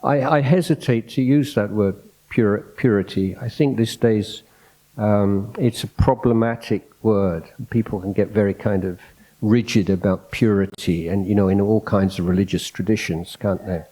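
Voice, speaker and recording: A Buddhist monk gives a talk in a hall through a microphone. His voice is soft, low and mellow. He speaks reflectively, pausing on fillers and restarting his phrases as he thinks aloud. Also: fairly even intonation. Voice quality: soft-spoken. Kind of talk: unscripted dhamma talk.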